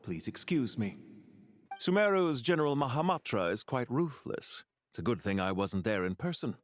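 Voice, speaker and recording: A man voicing a smooth, calculating video game villain who speaks with polite, deliberate menace. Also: medium pitch, sing-song, no room sound, clean studio sound, close to an everyday voice. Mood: politely unruffled. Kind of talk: scripted video game voice acting.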